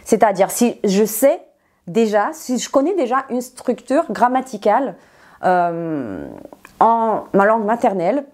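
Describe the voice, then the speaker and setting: Mellow-voiced teacher, woman. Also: mid-range voice, expressive, measured pace. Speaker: non-native French teacher, interview guest. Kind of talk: conversational explanation in an interview.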